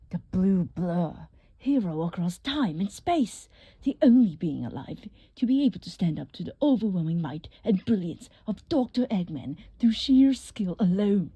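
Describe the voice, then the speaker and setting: A woman voicing a scheming, fawning male scientist villain in a theatrical, flowery cartoon style, with a breathy, husky voice. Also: fairly low pitch, warm tone, exaggerated voice. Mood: grandiose.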